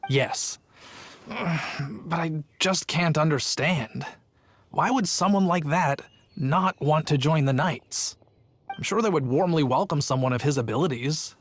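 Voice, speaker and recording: A man's voice: a little high, measured pace. An actor playing a chatty, earnest young male town guard telling his tale in a video game. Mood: puzzled, let down. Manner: storytelling, earnest.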